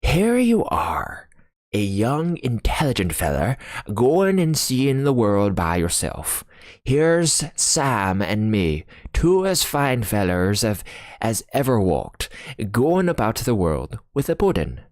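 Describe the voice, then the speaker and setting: A man voicing a rough, hearty sailor from a storybook, speechifying in salty talk with dropped g's. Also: mid-range voice, huge pitch swings, slow pace, a little mellow, no room sound, studio quality, voice pushed up. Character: rough, hearty old-fashioned sailor, bossy and chummy.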